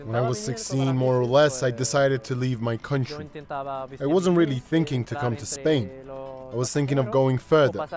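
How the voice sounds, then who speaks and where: A man's voice: mid-range voice, normal speed, lightly acted. A man reading the translated testimony of a young Moroccan migrant man as a documentary voice-over. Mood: plain recounting. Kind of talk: translated first-person documentary narration. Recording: music under the voice.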